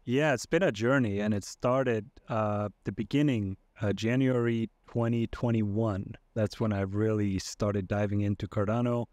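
A man's voice: lowish voice, fairly even intonation, a little slow, hardly any pauses, a little mellow, no room sound. A young man from Iceland, a podcast guest talking over a video call with a headset in his home streaming room, hesitant with many fillers and restarts. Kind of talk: casual interview chat.

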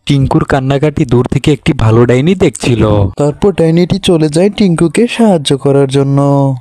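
A man's voice: mid-range voice, normal speed, mellow, no room sound, studio quality. A man narrating scripted children's cartoon stories. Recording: music behind the voice.

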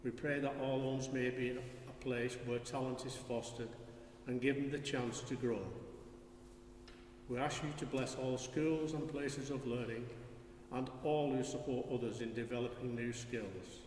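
An older man reads prayers of intercession phrase by phrase, in a measured way. He sounds distant from the microphone, in a very echoey church. A faint noise sits behind his voice. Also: mid-range voice, fairly even intonation, darkish tone. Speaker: lay reader of prayers. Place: large stone church, lectern.

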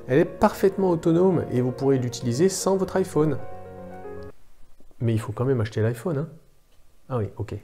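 Man in a mock-corporate keynote pitch. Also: dark tone. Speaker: channel host. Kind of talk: satirical mock product keynote. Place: living room, on a sofa.

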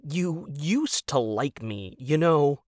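A man's voice: on the high side, expressive, slow, no echo, studio quality, voice pushed up. A man playing a defensive, petulant young husband in an audio drama, argumentative and hesitant, stammering as he makes his points. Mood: wounded.

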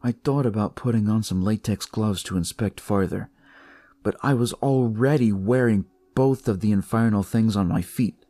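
Gravelly, husky male detective played by a man. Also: fairly low pitch, normal speed, warm tone, no echo. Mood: uneasy, wry. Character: male detective recounting a sinister, eerie discovery. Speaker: storyteller narrating in character. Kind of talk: first-person dramatic audio story narration. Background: music.

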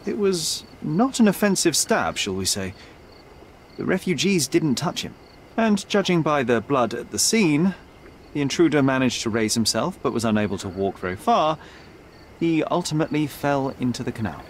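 An actor playing a young detective in a game, articulate, precise and self-assured. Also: male voice, on the high side, big pitch swings, normal speed, slight background noise. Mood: confident, probing. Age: young adult. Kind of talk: dramatic game cutscene dialogue. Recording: faint night ambience behind.

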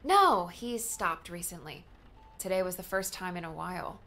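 A woman's voice: fairly low pitch, animated, normal speed, pushed and bright. A woman playing a candid, wry young adult daughter in a conversational audiobook drama style. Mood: candid, subdued.

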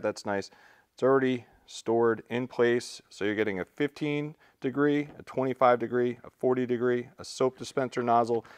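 A man presenting a product unboxing in a relaxed, practical way, thinking aloud with fillers, recorded indoors in a large concrete-floored space. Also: medium pitch. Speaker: tool store presenter. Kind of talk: casual product unboxing walkthrough.

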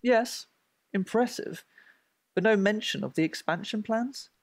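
A man's voice: high-pitched, unhurried, no echo. A man playing a flirtatious, smarmy would-be investor with a suave manner in an audio drama. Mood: polite, sceptical.

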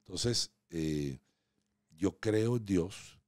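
A man in his sixties speaks with a very low, deep voice. He chats as a podcast guest, playful and full of stories. The sound is close and dry, from a studio mic on a boom arm. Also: animated, extremely slow, darkish tone, no echo. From Venezuela. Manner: playful, asks teasing questions, storytelling. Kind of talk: casual interview chat. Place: small home podcast studio. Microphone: studio mic on boom arm, headphones.

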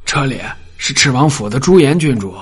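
A husky-voiced steward from an audiobook drama, played by a man. He is polite and deferential, explaining things reassuringly at a middling pitch. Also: lively intonation, normal speed. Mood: formal.

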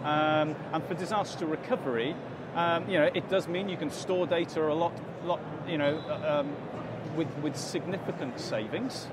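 A man in his forties talks through his business with plenty of ums and restarts, his voice sitting a little high for a man. Behind him a busy expo hall roars with loud noise. It sounds like a live stand interview. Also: moderate pace, hardly any pauses. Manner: explanatory, many fillers and restarts. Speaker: interview guest, company director. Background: crowd chatter and hall noise.

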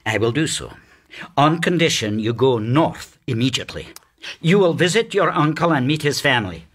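A man's voice: mid-range voice, animated, normal speed, a bit bright. An actor playing a stern, sarcastic middle-aged father and businessman in a formal period radio drama. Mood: cold.

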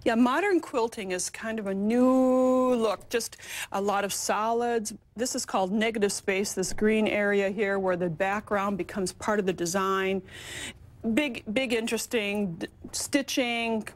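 A woman's voice: medium pitch, fairly even intonation, a little slow. A middle-aged woman guest on a TV studio set, explaining quilting events in a chatty, listing way. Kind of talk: TV interview.

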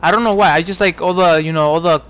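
A man's voice: fairly high pitch, fast talker, a bit bright, clear, resonant tone. A man in his twenties from New York giving casual, rambling commentary over gameplay, full of fillers and restarts. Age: 20s. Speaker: gaming commentator.

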